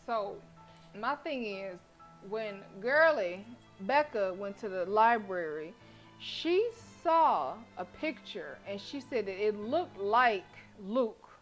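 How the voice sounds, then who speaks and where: A woman livestreamer reading a visual novel aloud with informal, expressive commentary. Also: medium pitch, slow, soft background music. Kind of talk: casual game commentary and read-aloud narration.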